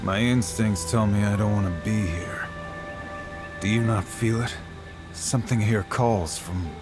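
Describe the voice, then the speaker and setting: A low-voiced, brooding swordsman from a video game, played by a man. He speaks slowly and wearily, with grave, clipped phrases weighed down by guilt. Music plays clearly behind him. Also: lightly acted. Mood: uneasy. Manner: terse, grave, weary delivery. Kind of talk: dramatic video game acting.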